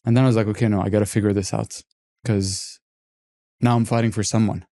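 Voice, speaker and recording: A man in his twenties from Lebanon, a podcast guest telling his story in a relaxed, candid, reflective way. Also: on the low side, a little fast. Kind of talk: casual interview talk.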